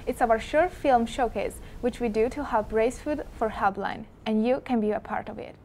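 A woman's voice: fairly high pitch, normal speed, darkish tone, slight background noise. A teenage girl from Italy, a student anchor reading school announcements in a school TV studio. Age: teens.

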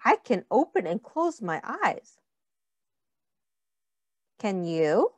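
Middle-aged lady doing storytime for little kids, really swoopy pitch, takes it super slow. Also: sing-song, dry recording. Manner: simple, deliberate, child-directed phrasing. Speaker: early childhood teacher reading aloud. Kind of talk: children's picture book read-aloud with questions to young listeners.

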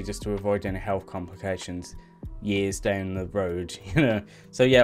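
A man's voice: fairly low pitch, a little mellow. A young man, vlogging casually while exploring an abandoned factory, laughing often. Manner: chatty, laughs often, loose rambling. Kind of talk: casual vlog with voice-over narration. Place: abandoned factory indoors and on a roof.

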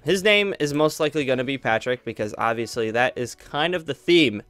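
A man's voice: mid-range voice, lively intonation, a little fast, a bit bright, clear, resonant tone, no room sound. A young man casually rambling through a channel update for his viewers, upbeat and full of fillers and restarts. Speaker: YouTuber. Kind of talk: casual channel update while flying over a game world.

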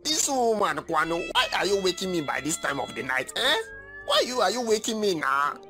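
A man's voice: high voice, very animated, normal speed, sharp tone, soft background music, exaggerated voice. A man acting a sleepy, skeptical husband in a comedy skit, grumbling and exasperated. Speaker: comic actor.

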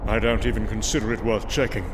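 A man's voice: lowish voice, steady tone, fast talker, noisy background, lightly acted. A man voicing a proud Norse thunder god from a comic book in a grand, theatrical, archaic manner. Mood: grave, brooding. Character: boastful, mighty Norse thunder god, proud warrior storyteller. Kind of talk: dramatic comic book reading with narration.

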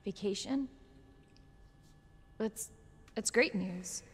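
A woman's voice: medium pitch, very slow, long reverb tail, a little hiss or hum. An actress playing a determined, caring young American woman surviving in a video game, with an earnest, resolute delivery. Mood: guarded, resolute. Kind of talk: video game cutscene acting.

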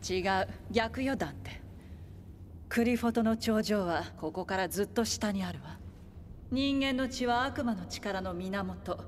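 A woman playing a composed, knowing demon hunter in a game, explaining in a measured way. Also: mid-range voice, natural voice. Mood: grave, knowing. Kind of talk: video game cutscene acting. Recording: long cavernous reverb, faint ambient noise.

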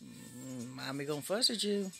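A middle-aged American woman chatting casually with a small child at home, coaxing and gently scolding from behind a handheld phone. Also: sits low, expressive, normal speed, vocal fry, over music. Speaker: caregiver filming a toddler at play. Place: cluttered carpeted living room.

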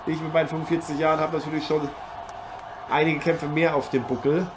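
A man playing a forty-five-year-old veteran boxer who co-commentates a fight in a radio play with excitable, punchy exclamations. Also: fairly high pitch, fairly quick, close to an everyday voice. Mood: fond, amused. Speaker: sports co-commentator. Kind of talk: live boxing commentary in an amateur radio play. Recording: noisy arena crowd behind the voice.

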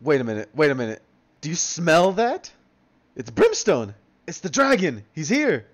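A small, plucky cartoon creature voiced by a man talks with brash confidence. The delivery is breezy and very animated, made for a comic-book readthrough. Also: a little high, moderate pace. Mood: cocky, confident. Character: small cartoon creature, cocky, reassuring little hero.

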